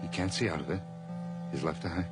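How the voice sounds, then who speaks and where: An actor playing a nervous, guilt-ridden murderer in an old radio drama, halting, repetitive and intense. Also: male voice, deep voice, over music, natural voice. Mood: shaken, hushed. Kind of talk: old radio drama acting and first-person narration.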